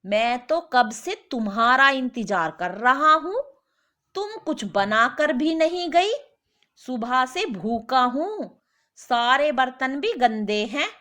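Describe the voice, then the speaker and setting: A woman voicing a lazy, whiny husband who makes indignant, self-justifying excuses in an animated moral story. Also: high-pitched, slow, exaggerated voice.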